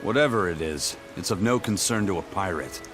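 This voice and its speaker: A man's voice: low-pitched, animated, a little fast, fairly bright tone, soft background music. A man voicing a stern, proud pirate in a video game, matter-of-fact but dramatic. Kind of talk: English video game voice acting.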